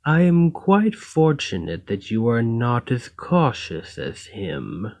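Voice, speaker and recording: An escaped golem, acted by a man speaks in an ASMR roleplay at an extremely unhurried pace. The pitch sits in an average male range. The phrasing is formal and measured, that of a polite, coldly logical captor who serves only himself. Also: very animated, darkish tone. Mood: detached, curious.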